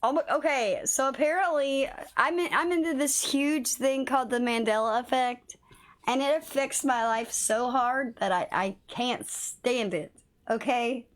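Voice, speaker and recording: A woman's voice: high-pitched, measured pace. A woman livestreaming a game, chatting casually with viewers and swearing a little; her voice is slightly worn, as if she is losing it. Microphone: phone.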